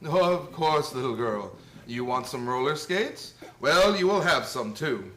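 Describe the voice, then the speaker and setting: A man playing jolly old Santa, lively pitch. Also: a little slow, slight background noise, poor audio. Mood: kindly, reassuring. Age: older. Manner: hearty chuckling, reassuring, gently hesitant. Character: jolly, kindly old department store Santa Claus. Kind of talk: radio play acting.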